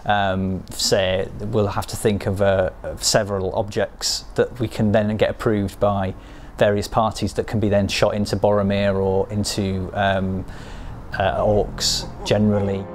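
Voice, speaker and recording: A man's voice: on the low side, flat delivery, measured pace, a little hiss or hum. Hesitant man explaining game development. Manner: hesitant, explanatory, many fillers and restarts. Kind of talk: interview.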